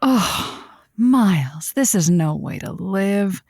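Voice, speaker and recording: A woman's voice: mid-range voice, lively intonation, takes it slowly, a little mellow, dry recording, studio quality. A woman playing a sympathetic, flirty late-night radio advice host who soothes and coaxes her callers with pet names in a loose improvised style. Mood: sympathetic, concerned. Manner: soothing, empathetic, coaxing, uses pet names. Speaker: radio call-in show host. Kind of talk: improvised comedic advice-show hosting.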